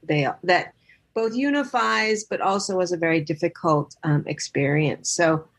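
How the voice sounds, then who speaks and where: Woman director, lowish voice, hesitant, pauses and fillers, podcast interview. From Los Angeles. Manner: hesitant, many fillers and restarts. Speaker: film director.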